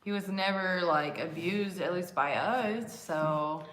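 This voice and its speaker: A woman's voice: lowish voice, slow pace, a crowd in the background. A woman in a casual chat, easygoing and adding short remarks to the conversation. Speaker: vlog co-host. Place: large echoey house. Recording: echoey room.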